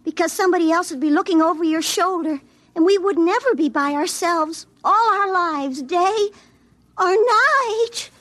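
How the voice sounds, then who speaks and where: High-pitched, fretful young sweetheart. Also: female voice, normal speed, fairly bright tone, dry recording. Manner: prim, dramatic, easily wounded. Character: indignant, fretful small-town young sweetheart. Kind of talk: classic radio drama acting.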